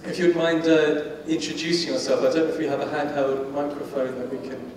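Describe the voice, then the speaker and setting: A man moderating a public panel discussion in a halting way, repeating words as he phrases his questions. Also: fairly high pitch, little pitch movement, darkish tone. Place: large indoor conference hall. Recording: echoey hall, distant mic, faint noise.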